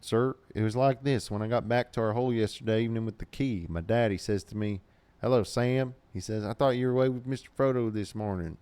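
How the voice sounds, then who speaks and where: A man's voice: medium pitch, darkish tone, clear, resonant tone, pitched above the performer's own voice, lightly acted. A man voicing a young male hobbit servant with a Southern US accent, telling a tale in a folksy, rustic way.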